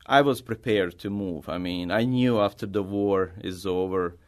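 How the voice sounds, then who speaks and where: A middle-aged man from Bosnia with a Bosnian accent, a native Bosnian speaker answering interview questions as an artist in halting, non-native English. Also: sits low, steady tone. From Bosnia, former Yugoslavia. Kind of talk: conversational interview answers, personal storytelling.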